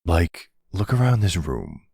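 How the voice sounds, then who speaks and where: Man playing a deep, gravelly, flirtatious mafia don. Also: very animated, unhurried, dark tone, no echo, clean studio sound, heavily acted. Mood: teasing, flirtatious.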